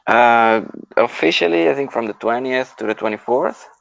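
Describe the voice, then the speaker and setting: A man's voice: medium pitch, moderate pace, gravelly, no room sound, poor audio. A man hosting a casual online group discussion, politely moderating who speaks next.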